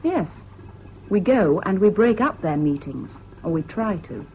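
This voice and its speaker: A woman's voice: on the low side, expressive, unhurried. An actress playing an earnest, sardonic young anti-fascist activist woman with an English accent, in 1970s radio drama style. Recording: muffled old radio recording, faint hiss.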